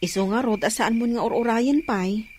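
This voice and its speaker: A woman's voice: fairly high pitch, moderate pace, darkish tone, slight background noise, natural voice. A woman with an Ilocano accent playing a playful, teasing friend in a radio-style drama.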